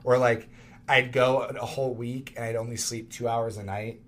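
Tired, halting man in his late twenties. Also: mid-range voice, flat delivery. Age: late 20s. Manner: halting, restarts and reflects aloud. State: tired from lack of sleep. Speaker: podcast co-host. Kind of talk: casual chat.

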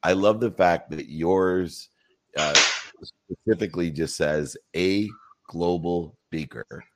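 A man's voice: sits low, slow, very noisy. A man hosting a live audio talk show, welcoming and questioning a guest in an effusive way, with many fillers. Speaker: show host.

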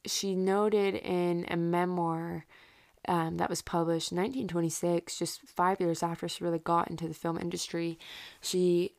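Creaky-voiced woman podcaster, casual and rambling. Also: fairly low pitch, flat delivery, moderate pace, darkish tone. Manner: informal, rambling, self-interrupting with asides. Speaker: podcast host. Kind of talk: casual solo podcast storytelling about history.

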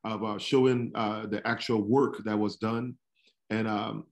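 A man from Trinidad and Tobago, a barber, answering interview questions in a halting way with many fillers. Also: on the low side, level tone. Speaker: barber, podcast guest.

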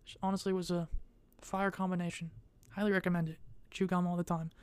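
A young adult woman vlogging in a casual, rambling way. She speaks in a monotone and hesitates often, with many fillers. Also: lowish voice, brisk, clear, resonant tone, no room sound.

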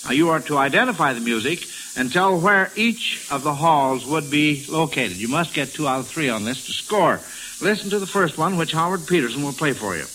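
Bright-voiced man hosting an old radio quiz. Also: fairly high pitch, a little fast. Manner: repeats and echoes answers approvingly. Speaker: quiz show host. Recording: old radio recording with steady hiss.

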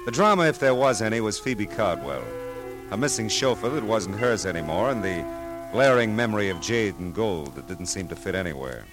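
An actor playing a wry, hard-boiled American private investigator in an old-time radio detective drama, with dry, wisecracking delivery. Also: male voice, on the low side, very animated, brisk. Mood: wry, brooding. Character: wry, flirtatious hard-boiled male private investigator narrating his case. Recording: vintage radio recording with music behind.

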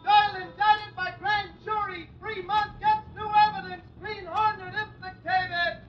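A piercingly high, shouting newsboy. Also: male voice, level tone, highs cut off. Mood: urgent, crying out. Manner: drawn-out, singsong shouting. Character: shouting young newsboy hawking papers on the street. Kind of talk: 1940s radio street-cry acting. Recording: distant, echoey old radio recording with music.

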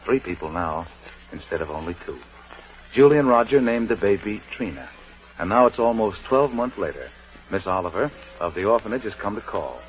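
Theatrical radio narrator, dull vintage sound. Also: male voice, on the low side, animated, fairly quick. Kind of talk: 1940s scripted radio narration.

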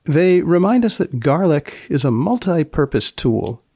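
A man hosting a podcast, narrating and asking questions in a measured, deadpan way. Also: a little high, slightly rough, no room sound, clean studio sound. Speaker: podcast host and magazine editor.

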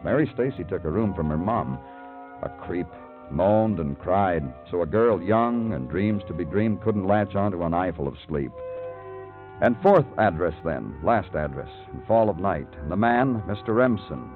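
An actor playing a weary, terse big-city police detective in a 1950s radio crime drama, with clipped, world-weary delivery. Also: male voice, deep voice, expressive, moderate pace. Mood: brooding. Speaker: narrator and lead actor. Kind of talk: 1950s radio crime drama, poetic hard-boiled narration. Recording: tinny old radio broadcast with music.

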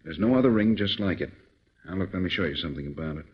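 An actor playing a calm, authoritative male government chief giving measured instructions in a 1940s radio drama. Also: deep voice, husky. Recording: dull old radio recording.

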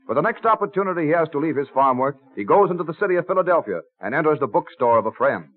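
Animated male radio narrator, tinny vintage sound. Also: a little high, rapid-fire. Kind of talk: old-time radio scripted narration. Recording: tinny old radio recording. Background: music.

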